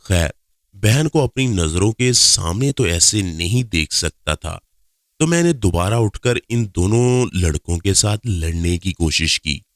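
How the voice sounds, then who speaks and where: A man's voice: low-pitched, dry recording, clean studio sound. A man reading a scripted first-person story as its narrator.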